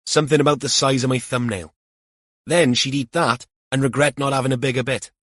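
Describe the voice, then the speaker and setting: Man voicing a chatty, earnest young policeman, lifted pitch. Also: steady tone, brisk, fairly bright tone, no room sound, studio quality, natural voice. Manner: rambling, literal-minded, protesting. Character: young male police officer, earnest, chatty, easily embarrassed.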